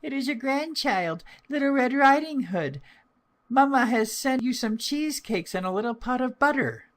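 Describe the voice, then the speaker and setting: Man doing a high-pitched innocent little girl. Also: expressive, moderate pace, a bit bright. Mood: polite. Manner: naive, polite, wondering.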